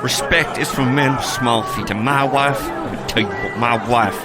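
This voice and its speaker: A man's voice: medium pitch, normal speed, natural voice. Man voicing a drunk bitter priest, audio drama, slurring rants, music and crowd behind. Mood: bitter ranting. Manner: slurring, rambling, bursts into shouting. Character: drunk disgraced male priest, bitter and rambling.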